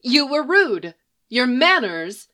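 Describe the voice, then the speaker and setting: A woman's voice: high voice, sing-song, slow pace, pushed and bright, voice pushed up, full character voice. Woman putting on a haughty, prim enchantress. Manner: lofty, prim, indignant.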